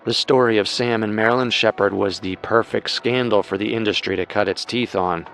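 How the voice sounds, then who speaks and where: A man's voice: on the low side, fairly even intonation, a little fast, vocal fry, a bit bright, poor audio. A man narrating a scripted true-crime story as co-host.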